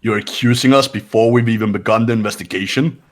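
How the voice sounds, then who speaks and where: A man playing a cool, haughty young man in a fan-voiced game, measured and condescending. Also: lowish voice, fairly even intonation, close to an everyday voice. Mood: cold.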